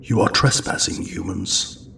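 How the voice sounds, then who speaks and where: A man playing an ancient, stern and weary wizard in an audio drama, speaking solemnly and deliberately. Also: low voice, creaky, bright, forceful tone, far from the mic, exaggerated voice. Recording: off-mic with faint noise.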